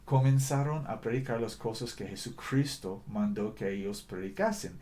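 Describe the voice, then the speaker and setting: A man preaching a sermon in a language that is not his first, earnest and deliberate, often correcting himself mid-sentence. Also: lowish voice, lively intonation, warm tone. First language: not Spanish.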